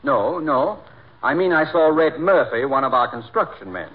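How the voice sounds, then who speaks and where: A man's voice: fairly high pitch, expressive, moderate pace. An actor playing a polite, fussy weatherman who rambles literal-mindedly, in 1940s radio comedy style. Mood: earnest, rambling. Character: fussy, genial weatherman telling rambling, muddled tales. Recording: noisy, phone-like 1940s radio recording.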